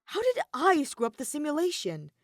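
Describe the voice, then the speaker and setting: A woman's voice: high voice, wildly swooping pitch, normal speed, no room sound, pitched above the performer's own voice, full character voice. Anxious young man, read by a woman: bewildered, hesitant, urgent, in a close dry recording. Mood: frustrated. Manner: hesitant, stammering, urgent questioning.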